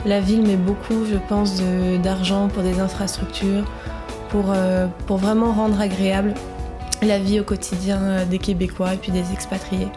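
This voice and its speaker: A woman's voice: medium pitch, level tone, moderate pace, music in the background. A woman speaking conversationally as an interviewee.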